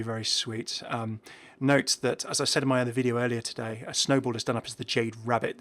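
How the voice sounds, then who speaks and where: A man's voice: medium pitch, a bit bright. A man hosting a gaming video with casual, unscripted commentary in a chatty, slightly hesitant way as he reacts to what he sees.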